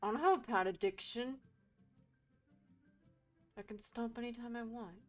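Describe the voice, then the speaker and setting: A woman's voice: fairly high pitch, normal speed, soft background music. A woman streaming a game with casual, playful and irreverent commentary.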